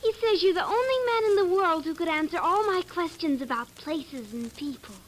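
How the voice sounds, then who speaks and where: A woman's voice: very high voice, expressive, moderate pace. An actress playing a chatty, inquisitive, earnest little girl in an old radio drama. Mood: eager, curious. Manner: artless, earnest, proudly reciting grown-up words. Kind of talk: 1950s radio drama acting. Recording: noisy vintage radio drama recording.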